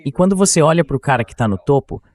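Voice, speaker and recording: A man's voice: a little high, lively intonation, quick pace, darkish tone. A man as host, reading a translated voice-over of a political interview in conversational, argumentative sentences.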